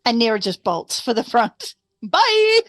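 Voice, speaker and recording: A woman's voice: a little high, very animated, measured pace, a bit bright, no echo, clean studio sound. A woman playing in a tabletop roleplaying game, talking playfully in short in-character remarks.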